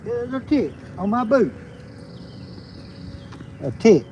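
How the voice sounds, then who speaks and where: A man in his fifties with a Southern US accent, rambling in a folksy, halting way during a casual outdoor livestream. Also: extremely high pitch, big pitch swings, highs cut off, a crowd in the background. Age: 50s. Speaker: homesteading livestream host, logger. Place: outdoors on a rural roadside. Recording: outdoor noise with birds. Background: birds and rural outdoor ambience.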